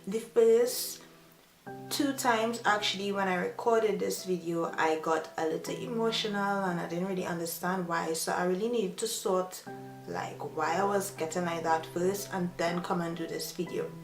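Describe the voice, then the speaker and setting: A woman's voice: on the low side, moderate pace. Trinidadian woman in an echoey room. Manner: earnest, reflective, frequent fillers. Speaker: vlogger. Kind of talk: casual advice vlog. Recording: echoey room with faint music. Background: soft piano music.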